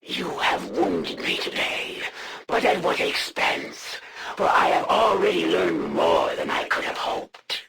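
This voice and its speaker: A woman playing a proud, menacing alien assassin in a video game, speaking in a formal, solemn way with a gravelly rasp. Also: extremely low pitch, huge pitch swings, a bit bright, slight background noise, full character voice. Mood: proud, threatening. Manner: formal, solemn, haughty, deliberate delivery. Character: proud female alien assassin, honor-bound, menacing, serving the Elders. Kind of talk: theatrical video game villain lines.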